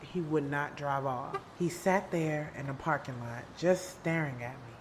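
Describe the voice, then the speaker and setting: A woman's voice: sits low, a little slow, slight background noise. A woman playing a frank, defensive young woman who narrates her own love-triangle drama in an audio series, with music under her voice. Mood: reflective. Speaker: narrator and lead character. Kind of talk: audio drama acting with first-person narration. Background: background music.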